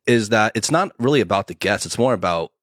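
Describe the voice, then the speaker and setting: A man co-hosting a podcast, talking in a measured, hesitant way with pauses, weighing both sides of a question. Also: on the low side, animated, a bit bright, no room sound, clean studio sound. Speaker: podcast co-host. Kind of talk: casual bilingual chat.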